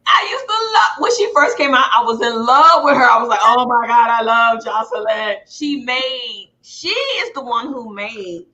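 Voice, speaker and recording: Woman, American accent, highish bright voice, animated swings, exclamatory casual chat host. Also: moderate pace, few pauses. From Houston, Texas, United States. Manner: exclamatory, dramatic, acts out quoted remarks.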